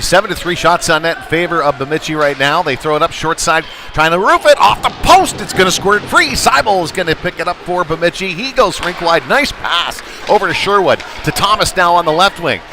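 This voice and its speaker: A man's voice: fairly high pitch, a little fast, noisy background. A man calling live hockey play-by-play in clipped present-tense phrases that build with the action. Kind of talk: live sports commentary.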